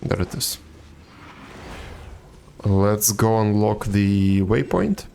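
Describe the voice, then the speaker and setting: Low, creaky-voiced man streaming a game. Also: animated, extremely slow, mellow, a little hiss or hum. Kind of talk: casual live gameplay chat. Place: indoors, streaming, game music behind.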